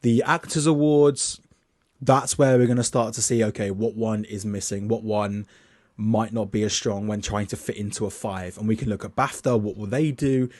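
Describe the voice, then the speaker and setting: A man's voice: fairly low pitch, steady tone, normal speed, a little mellow, dry recording, clean studio sound. A young man casually talking through his film award predictions, chatty and loose, with plenty of restarts, reading from his notes. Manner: reads his list off notes.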